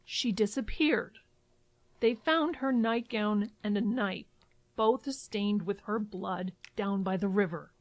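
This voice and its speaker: An actress playing a worried, exasperated adult daughter and caregiver in an audio drama, speaking emphatically. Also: female voice, mid-range voice. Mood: somber, slow. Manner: brisk, emphatic, fraught.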